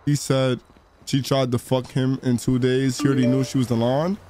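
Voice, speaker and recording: A man's voice: medium pitch, level tone, moderate pace, background noise. A man giving casual reaction commentary on a game stream, in slangy, profane talk.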